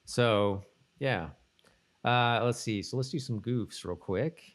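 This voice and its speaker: A man co-hosting a podcast in casual chat, reading out bits of trivia and thinking aloud in a halting way. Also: mid-range voice. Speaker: podcast co-host.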